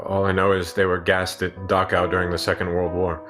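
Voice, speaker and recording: A man playing a reserved, haunted scientist who survived a wartime camp, speaking haltingly in a sci-fi radio play. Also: low voice, completely flat delivery, lightly acted. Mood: detached, somber. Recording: music under the voice.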